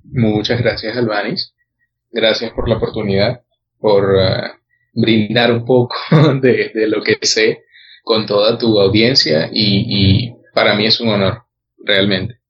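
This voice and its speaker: A man with a Venezuelan accent, a guest photographer telling his story in a relaxed way, with many hesitations and repeated words. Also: lowish voice, unhurried, highs cut off. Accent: Venezuelan Spanish. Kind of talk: casual interview chat.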